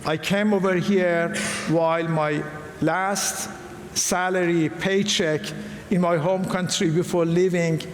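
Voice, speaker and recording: A middle-aged man with an Iranian accent talks earnestly to a gathered audience, often restarting his sentences. His voice sits a little high for a man. The sound rings through a large hall with a long echo and faint room noise behind it. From Iran. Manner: earnest, halting, frequent restarts. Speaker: guest speaker. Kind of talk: personal talk to a live audience.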